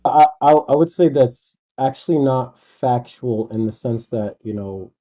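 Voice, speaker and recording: A male caller on a live debate stream talks haltingly, stammering over his words as he questions and pushes back. His voice is very dark and mellow, with a husky edge. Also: medium pitch. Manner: hesitant, stammering, repeats words.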